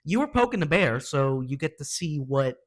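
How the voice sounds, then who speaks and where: A man's voice: on the high side, big pitch swings, moderate pace, very noisy. A man hosting a reaction video with casual, slangy, opinionated commentary in an exasperated manner.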